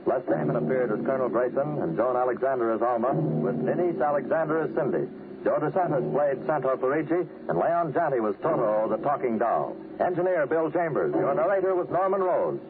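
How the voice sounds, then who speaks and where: A man's voice: medium pitch, expressive, fairly quick, narrow, tinny audio. Noisy old-radio American male announcer. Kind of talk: formal scripted 1950s radio credits.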